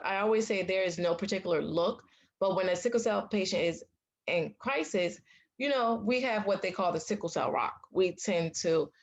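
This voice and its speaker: A young woman from the United States, a patient advocate, telling her story in a casual interview, outspoken and animated. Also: lowish voice. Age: young adult. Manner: animated, acts out quoted dialogue; loud, outspoken.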